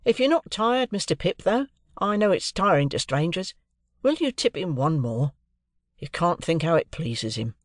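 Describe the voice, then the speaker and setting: A man voicing a brisk, private but fond Victorian clerk in an audiobook, speaking in an emphatic, matter-of-fact way. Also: sits high, big pitch swings, no room sound, studio quality, voice pushed up. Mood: fond, teasing.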